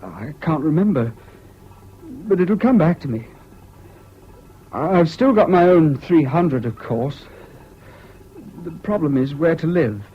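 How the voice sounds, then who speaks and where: An actor in a 1970s British radio drama playing a troubled, self-pitying jilted husband, halting and plaintive, on an old recording. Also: male voice, on the high side, lively intonation, slightly rough, exaggerated voice. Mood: subdued, halting. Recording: dull, faintly noisy old radio recording.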